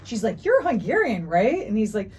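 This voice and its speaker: A lively woman tells family stories with plenty of laughs, mimicking the people she quotes. Her voice sits mid-range over a faint hiss. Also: mid-range voice, moderate pace, distant mic. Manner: animated, laughing storyteller, acts out quotes. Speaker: co-host, daughter. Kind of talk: casual family chat.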